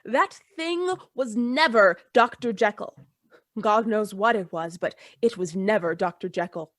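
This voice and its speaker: A woman's voice: medium pitch, unhurried, fairly bright tone, no echo, lightly acted. A woman playing a frightened, loyal and deferential old household servant in a Gothic radio play. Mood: frightened, insistent. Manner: deferential, agitated, emphatic.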